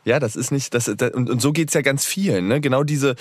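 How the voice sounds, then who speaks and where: A man's voice: medium pitch, brisk, no echo, clean studio sound. A man interviewing a guest, affirming often and asking long, rambling questions with many fillers. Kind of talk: conversational interview.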